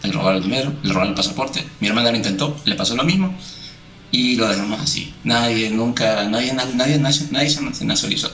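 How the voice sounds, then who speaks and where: A man from Chile who grew up in Venezuela, telling stories in a relaxed, chatty way as an interview guest. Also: medium pitch, fast, reverberant. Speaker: guitarist, guest. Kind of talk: casual interview.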